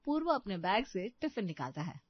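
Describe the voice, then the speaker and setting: A woman's voice: medium pitch, sing-song, a little fast, no echo, muffled recording. A woman narrating a scripted story as a storyteller.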